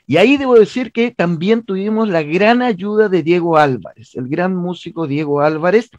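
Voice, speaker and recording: A man's voice: sits high, normal speed, no room sound. A Chilean man speaking conversationally as an interview guest, often hesitating and repeating words as he searches for phrasing. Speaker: interview guest, theatre director and playwright. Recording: dull, band-limited, dry sound.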